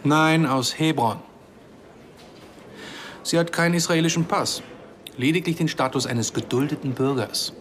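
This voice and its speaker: An actor playing a courteous, sardonic Palestinian man from East Jerusalem in a radio drama, speaking with measured, polite irony. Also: on the high side, a bit bright, slight background noise.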